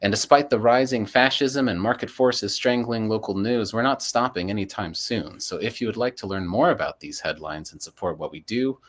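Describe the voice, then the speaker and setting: A man's voice: fairly low pitch, moderate pace, few pauses, a bit bright. A man hosting a local news podcast, moving between reading headlines and casual discussion.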